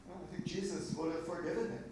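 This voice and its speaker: A man's voice: on the high side, fairly even intonation, fast, a little mellow, close to an everyday voice. A man playing a knowing, corrective senior disciple in an amateur dramatic reading. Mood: knowing, measured. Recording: echoey, distant, noisy hall.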